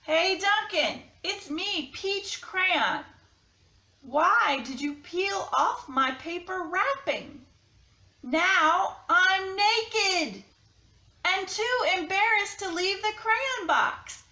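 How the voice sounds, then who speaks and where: A woman's voice: very high voice, animated, very slow, bright, forceful tone, echoey room, pitched above the performer's own voice, full character voice. A woman voicing an embarrassed, panicky peach crayon, whining and pleading in a storybook read-aloud.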